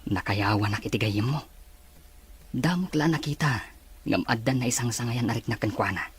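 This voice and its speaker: Man as a husky elder brother. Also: mid-range voice, moderate pace. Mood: curious, amused. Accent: Filipino, Ilocano. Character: admiring elder brother, scheming with his sister. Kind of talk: Ilocano radio drama acting.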